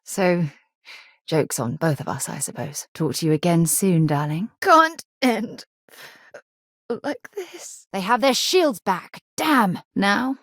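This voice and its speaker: A woman playing an earnest, principled space explorer with military training in a video game, speaking in a measured, articulate and sincere way with a husky voice. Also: medium pitch, lively intonation, no room sound, clean studio sound. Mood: urgent, alarmed. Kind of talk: video game companion dialogue acting.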